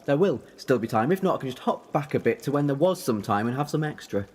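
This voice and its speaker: A man's voice: medium pitch, expressive, fast, slightly rough. A man playing an eccentric, defiant, youthful-looking time traveller in an audio drama, rambling in a playful, theatrical way. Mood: determined, quirky. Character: eccentric, whimsical, defiant time-travelling alien Doctor who looks young.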